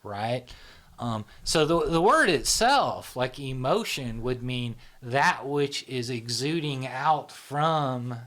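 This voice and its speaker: A man's voice: mid-range voice, animated, slow pace, fairly bright tone. A middle-aged man hosting a casual talk, explaining at length with many fillers like "right?" and "you know". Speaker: show founder and host.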